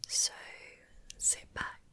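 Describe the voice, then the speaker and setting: A woman whispering softly and breathily as she gently guides a relaxation ASMR session, soothing and unhurried. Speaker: ASMR host guiding relaxation.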